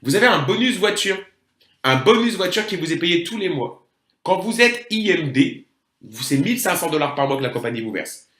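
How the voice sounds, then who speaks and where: A man's voice: fairly high pitch, sing-song, moderate pace, fairly bright tone. A man with a French accent presenting a network marketing plan in an emphatic, salesy way, often putting rhetorical questions to his listeners. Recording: roomy, echoing voice recording.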